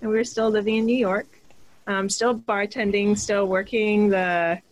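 A woman from Hawaii, a podcast guest, telling her life story in a relaxed, casual way with frequent laughs. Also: medium pitch, moderate pace, slight background noise, low-quality recording.

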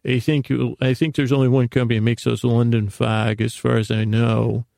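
Creaky middle-aged guy rambling a sleepy bedtime monologue, soothing and friendly, super dry close sound. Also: medium pitch, little pitch movement, a little mellow, dry recording. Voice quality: gravelly, creaky. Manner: meandering, halting, repeats and trails off; lulling, soothing, friendly tones. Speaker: podcast host. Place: small closet full of clothes, indoors.

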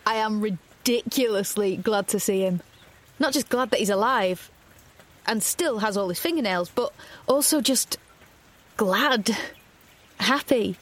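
An actress playing a despairing, isolated young rebel in a dystopian audio drama, narrating her ordeal in an introspective, halting way. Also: medium pitch, a bit bright, slight background noise, close to an everyday voice. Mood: glad. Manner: introspective, halting, self-questioning.

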